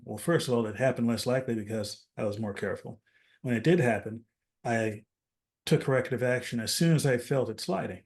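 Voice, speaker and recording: Medium-pitched man in casual explanatory discussion. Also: gravelly. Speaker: aviation safety podcast co-host.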